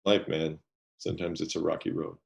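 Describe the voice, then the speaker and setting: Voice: a bass voice, little pitch movement, fairly quick, creaky, slightly rough. A player at a tabletop game who makes dry jokes. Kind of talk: casual tabletop play.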